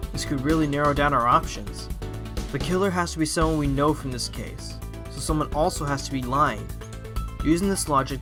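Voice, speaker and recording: A man playing an earnest, confident fox defence lawyer in an audio drama, reasoning aloud deliberately. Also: on the high side, lightly acted. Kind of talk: audio drama courtroom acting. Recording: prominent music under the voice.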